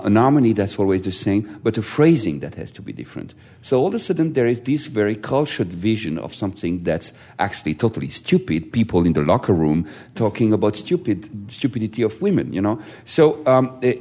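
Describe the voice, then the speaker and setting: Man, very low voice, enthusiastic, hesitant phrasing, panel talk. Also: dark tone. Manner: enthusiastic, searching for words. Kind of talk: panel discussion.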